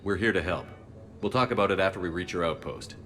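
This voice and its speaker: A man voicing a steadfast, calm military commander in a video game, terse and matter-of-fact. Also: low-pitched, quick pace. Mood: calm, steady. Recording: music under the voice.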